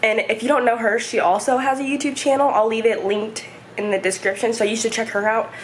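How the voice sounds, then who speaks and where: Young woman vlogger, hoarse and stuffy, rambling home chat, slightly high voice, faint room hum. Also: fairly even intonation, fairly quick. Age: 20s. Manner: rambling, chatty, many fillers. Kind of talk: casual home vlog chat. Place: home, indoors. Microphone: camera set up nearby.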